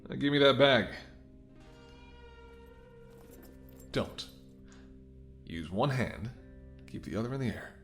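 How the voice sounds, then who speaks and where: A man's voice: mid-range voice, animated, normal speed, a bit bright, soft background music. A man playing a menacing, smirking mercenary ex-bodyguard in an audio roleplay, with a taunting, sardonic way of talking. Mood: threatening. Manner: taunting, sardonic, swearing, drops to whispers. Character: menacing, smirking male mercenary ex-bodyguard holding someone at gunpoint. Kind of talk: dramatic audio roleplay spoken to the listener.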